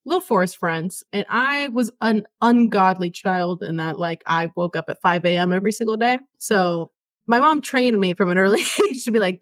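A woman's voice: mid-range voice, no room sound. Young woman from venezuela telling a story on a podcast, laughs a lot, swears, dry close mic. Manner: animated storyteller, laughs often, swears freely. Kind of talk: casual chat, storytelling.

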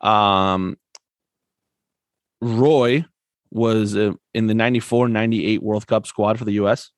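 A man co-hosting a casual sports podcast, relaxed and chatty, laughing often and filling pauses with "um". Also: lowish voice, dry recording, studio quality. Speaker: podcast co-host.

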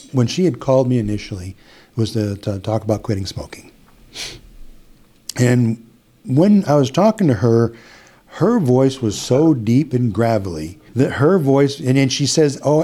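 A middle-aged man with an Upper Midwest American accent, recounting a client story in a relaxed, chatty way. His voice is warm and mellow, and he keeps restarting phrases and acting out bits of dialogue. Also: mid-range voice. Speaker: hypnotist guest. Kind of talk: casual storytelling in an interview.

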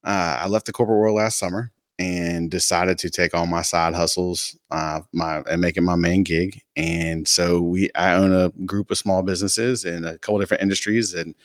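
A man's voice: low-pitched, steady tone, moderate pace, hardly any pauses, dry recording, studio quality. A man guesting on a podcast in casual chat, laid-back and matter-of-fact, with some teasing. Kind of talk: casual conversational interview.

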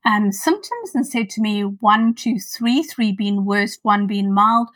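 A woman's voice: medium pitch, expressive, fairly bright tone, no room sound. South African woman speaking from a distance. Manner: thoughtful, many pauses and fillers. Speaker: podcast guest. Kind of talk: casual interview.

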